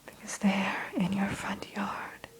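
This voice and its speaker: A woman narrating a guided ASMR story in a soothing whisper, slowly, with deliberate pauses. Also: mid-range voice, monotone. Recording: faint background hiss.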